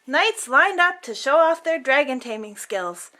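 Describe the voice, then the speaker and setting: A woman's voice: high-pitched, expressive, measured pace, pushed and bright. A woman reading a children's picture book aloud as host, theatrically, drawing out words for emphasis.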